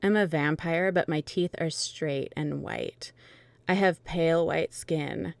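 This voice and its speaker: A woman's voice: low-pitched, fairly even intonation, takes it slowly, gravelly, no room sound, exaggerated voice. A woman reading fan fiction dramatically as a melodramatic, self-absorbed teenage goth vampire witch. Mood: self-absorbed, matter-of-fact.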